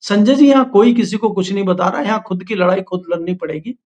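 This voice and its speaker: High-voiced male guest on a remote line. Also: brisk, darkish tone, highs cut off. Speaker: political editor, guest. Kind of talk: interview answers.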